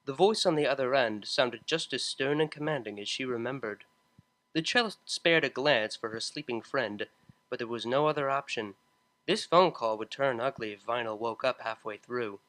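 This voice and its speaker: A man narrating a piece of fan fiction in an expressive storytelling style. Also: medium pitch, normal speed. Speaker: audiobook narrator.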